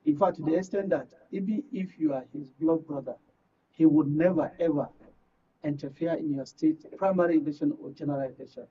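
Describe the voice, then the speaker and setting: A man's voice: a little high, a little fast, slight background noise. A Nigerian man speaking as a guest political commentator in a live discussion, emphatic and repeating phrases to make his point. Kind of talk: live current affairs discussion. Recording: muffled, distant microphone.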